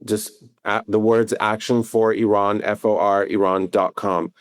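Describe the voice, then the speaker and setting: A man, a podcast guest, speaking in a lively, earnest way and urging listeners to act. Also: fairly low pitch, a little slow, no echo, studio quality. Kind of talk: podcast interview.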